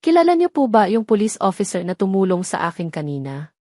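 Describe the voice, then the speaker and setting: A woman's voice: medium pitch, very animated, brisk, dark tone, no echo, clean studio sound. A woman voicing a shy young schoolboy, earnest and inquisitive, in an audio drama. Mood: curious.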